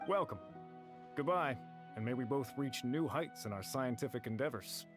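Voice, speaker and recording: A high-minded male researcher from a video game, voiced by an actor. He sounds proud and grumbling in a medium-pitched voice, airing firm opinions over background music. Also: animated, normal speed, lightly acted. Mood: respectful.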